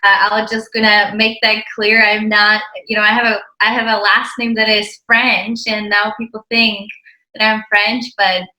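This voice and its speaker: A woman's voice: mid-range voice, little pitch movement, normal speed, bright, forceful tone, reverberant, rough recording. A woman in her twenties from the Czech Republic with a Czech accent, a ministry co-founder chatting as an interview guest with many hesitant fillers. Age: 20s. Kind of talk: casual interview chat.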